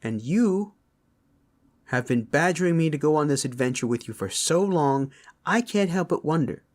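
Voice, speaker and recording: A man's voice: fairly high pitch, lively intonation, normal speed, darkish tone, natural voice. A man playing a hot-tempered, protective beast father in a fantasy audio drama, speaking in a blunt, threatening way. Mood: suspicious.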